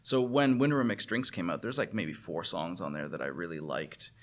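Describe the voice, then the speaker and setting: Guy with a lowish voice chatting about records, earnest, kinda stop-start, musician guest type. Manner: earnest, enthusiastic, halting and rephrasing. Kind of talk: casual conversational music talk.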